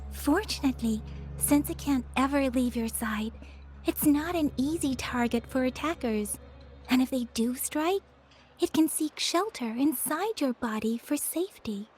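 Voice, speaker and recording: A calm, high-voiced explainer. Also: female voice. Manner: measured, explanatory, unhurried. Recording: game dialogue with soft music.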